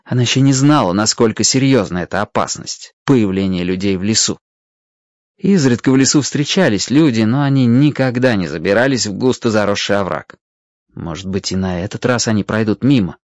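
A man narrating a scripted children's story in a measured, expressive way. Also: lowish voice, dry recording, dull audio.

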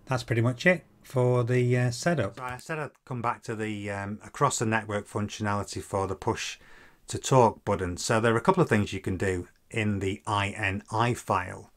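A man in his fifties explaining software in an informal tutorial, hesitant and halting, with frequent ums. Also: fairly low pitch, steady tone. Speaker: presenter of a flight simulator tutorial series.

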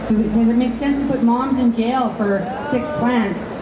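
A woman's voice: fairly high pitch, unhurried, a crowd in the background. A woman in her fifties speaking on an expo panel, holding a handheld mic, talking in a rambling way with many fillers and restarts. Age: 50s. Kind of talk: informal talk at an expo panel. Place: large dark hall with a stage and screen. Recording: echoey hall with clear background noise.

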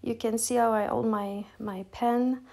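A middle-aged woman from Quebec whose first language is French, speaking English with a Quebec French accent as she calmly talks through her art process with many pauses and fillers. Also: fairly high pitch, creaky. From Quebec, Canada. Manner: relaxed, hesitant, many fillers and restarts. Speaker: artist demonstrating her process. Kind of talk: casual voice-over tutorial.

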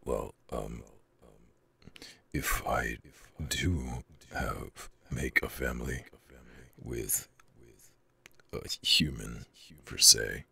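A man voicing a huge, shy but proud dragon in an ASMR roleplay, with a growling, raspy voice and hesitant, stammering delivery. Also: fairly even intonation, extremely slow, long pauses, sharp tone, full character voice. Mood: hesitant, earnest. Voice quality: very deep, gravelly growl with breathy rasp.

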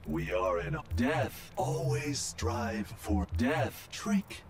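An actor playing a serene, wise robot monk in a video game, speaking in calm, measured phrases. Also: male voice, fairly high pitch, vocal fry, slight background noise, exaggerated voice.

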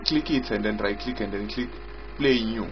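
A man giving an unscripted software walkthrough as its developer, explaining haltingly and repetitively in short phrases. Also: fairly low pitch. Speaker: software developer demonstrating own app. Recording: dull lo-fi audio with loud music. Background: loud music.

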